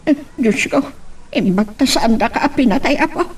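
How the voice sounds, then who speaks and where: A woman's voice: high-pitched, expressive, moderate pace, breathy edge, recorded from a distance. A woman with a Filipino accent playing a concerned, inquisitive questioner in an audio drama. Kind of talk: Filipino radio-style drama acting.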